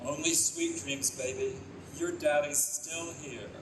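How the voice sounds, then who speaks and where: High-pitched, excitable father acted by a man. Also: slow pace. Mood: tender, wistful. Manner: excitable, theatrical, pleading. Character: anguished, wisecracking dead father reborn as helpless baby. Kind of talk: live old-time radio play acting. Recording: echoey live stage, distant mic, clear noise.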